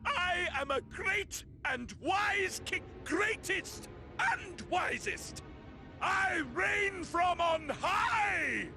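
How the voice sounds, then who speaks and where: A man's voice: very high voice, animated, very slow, sharp tone, shouting, faint music behind, exaggerated voice. An actor playing a deranged, pompous, gluttonous monster king in a video game, with a grandiose, raving delivery. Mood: raging, boastful. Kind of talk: theatrical video game villain acting.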